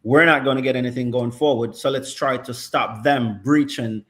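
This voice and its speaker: A man's voice: mid-range voice, fairly even intonation, normal speed, a bit bright. A middle-aged man analysing football in casual chat, thoughtful and checking in with "right?" often. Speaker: football pundit.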